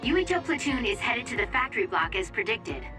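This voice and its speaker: A woman playing a dutiful, concerned young mission operator in an anime-style game dub, reporting clearly and formally. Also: fairly high pitch, big pitch swings, brisk. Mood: focused. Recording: loud music behind.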